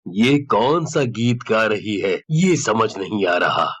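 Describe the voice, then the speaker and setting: Man as a slow-spoken fatherly king. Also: lowish voice, expressive, a bit bright, breathy edge, voice pushed down, full character voice. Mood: puzzled. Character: gentle, fatherly middle-aged king.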